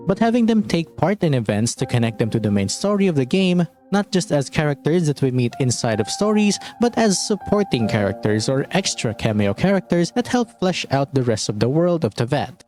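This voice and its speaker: A man with a slightly high voice narrates a scripted video essay about a game. His pitch moves in very animated swings, and he sounds conversational and opinionated. Music plays behind his voice. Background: background music.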